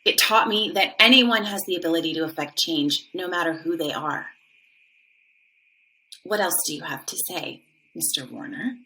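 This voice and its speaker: A woman's voice: fairly low pitch, moderate pace, bright, forceful tone, a little hiss or hum, rough recording. A woman playing an earnest, proud middle-aged social studies department chair explaining and defending her program in a dramatized monologue. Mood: challenging.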